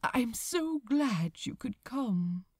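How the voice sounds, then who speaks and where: Woman doing a gentle wistful angel guy in an audiobook, hushed and breathy, takes his time. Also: a little high, lively intonation, drawn-out words, no room sound, studio quality, pitched above the performer's own voice, exaggerated voice. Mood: warm, tender. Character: gentle, wistful male angel, tender toward his friend.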